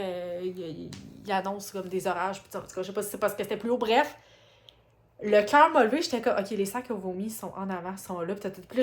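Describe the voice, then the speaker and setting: A woman with a Quebec French accent chatting casually to her vlog in an informal, rambling way, often interrupting herself. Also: mid-range voice. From Quebec, Canada. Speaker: vlogger. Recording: clearly noisy, travel ambience.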